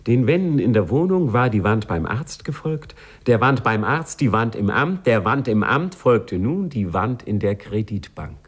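A man's voice: medium pitch, big pitch swings, a little slow, few pauses, slight background noise. A man playing a sly, wry narrator who addresses the listener in a 1950s German radio play. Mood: wry, dramatic.

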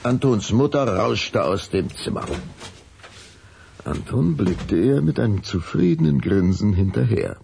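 A man's voice: lowish voice, expressive, measured pace, gravelly, warm tone, a little hiss or hum. A man narrating a children's audio drama as its scripted storyteller. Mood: measured storytelling. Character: male storyteller narrating a children's vampire tale.

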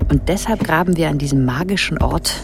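Radio play lead: young woman, reflective and uneasy, soft gravelly edge, confiding over music. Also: low-pitched, a little fast. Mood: wry, reflective. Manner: hushed, reflective. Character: young woman, wondering, observant, quietly uneasy newcomer. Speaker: protagonist and inner narrator. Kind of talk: intimate radio drama monologue.

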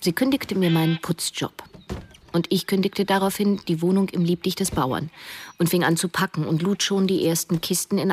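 An actress as a troubled, self-questioning woman, telling her story as first-person narrator in an introspective, deliberate way. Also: lowish voice, noisy background, natural voice. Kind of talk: scripted radio drama narration.

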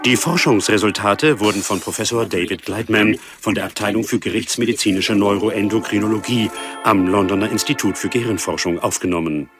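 An actor as a low-voiced, authoritative male lecturer. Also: brisk, over music, lightly acted. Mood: didactic. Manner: formal, didactic. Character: authoritative male lecturer voicing an official scientific report. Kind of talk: radio drama scripted exposition.

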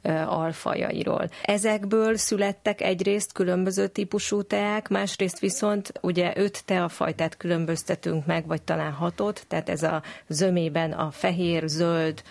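Low-voiced woman explaining in an interview. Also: fairly even intonation, vocal fry, few pauses, darkish tone. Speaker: guest tea expert.

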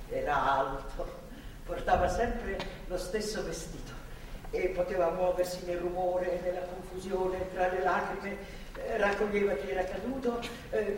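A woman's voice: lowish voice, lightly acted. Echoey, distant older woman, devout and admonishing acted. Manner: earnest, moralizing, admonishing. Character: devout older grandmotherly woman, stern and righteous captor. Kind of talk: theatrical stage and radio drama acting. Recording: distant, echoey hall, clearly noisy.

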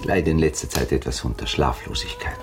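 A low, weary aristocratic baron. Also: male voice, wildly swooping pitch, exaggerated voice. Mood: guarded, polite. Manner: terse, aristocratic, measured. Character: weary Viennese baron, former officer, guarded. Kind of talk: German radio drama acting. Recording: clearly noisy 1980s radio drama recording.

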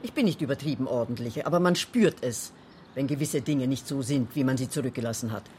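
An actress playing a lonely, anxious, defensive woman in a radio crime drama, speaking haltingly yet insistently. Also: very deep voice, animated, darkish tone, slight background noise. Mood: insistent, uneasy.

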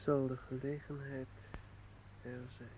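An actor plays an earnest young student in a radio drama. His voice is very mellow and unhurried, with a polite, measured delivery. There is faint noise in the recording. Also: mid-range voice, little pitch movement, lightly acted, low-quality recording. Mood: wistful. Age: young adult.

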